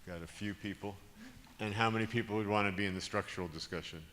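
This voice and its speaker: A man's voice: fairly low pitch, fairly even intonation, fast talker, fairly bright tone. A man moderating a summit discussion in a large conference room, talking with frequent restarts and chuckles. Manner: hesitant, restarts often, chuckles. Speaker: panel moderator. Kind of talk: organizing a group discussion. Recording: roomy hall reverb, background hiss.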